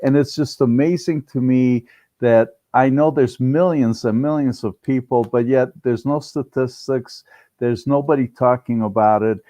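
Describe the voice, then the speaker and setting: A man's voice: medium pitch, steady tone, measured pace, dark tone, no echo. An older man, speaking conversationally and earnestly as an interview guest, often restarting his sentences. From Romania-born, raised in Cleveland, US. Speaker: interview guest, business founder.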